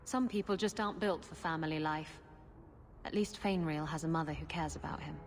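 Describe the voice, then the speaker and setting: A woman's voice: low voice, fairly quick, big-room sound, a little hiss or hum, lightly acted. An actress playing a frank, practical female companion with a dry manner, in a game cutscene.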